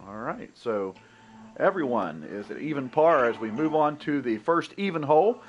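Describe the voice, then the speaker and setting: A man's voice: mid-range voice, very animated, moderate pace. Stream host, man in his 30s, stuffy nasal voice, upbeat golf commentary, headset, slightly muffled audio. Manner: upbeat, welcoming, talks through his own shots. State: nasal, congested from a cold. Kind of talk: casual game commentary. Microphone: gaming headset.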